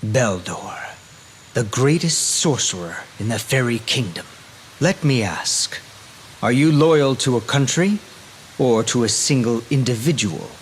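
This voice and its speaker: A man voicing a cold, loyal, menacing knight in a video game, formal and measured. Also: medium pitch, lively intonation, slight background noise, lightly acted, low-quality recording. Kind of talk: dramatic video game voice acting.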